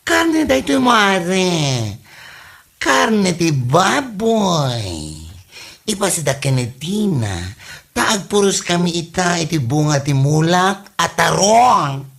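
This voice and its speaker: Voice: mid-range voice, very animated, takes it slowly, vocal fry, fairly bright tone, heavily acted. A performer with an Ilocano accent playing a gossipy, bantering village woman in a Filipino comedy audio drama, exclamatory and teasing. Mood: chatty.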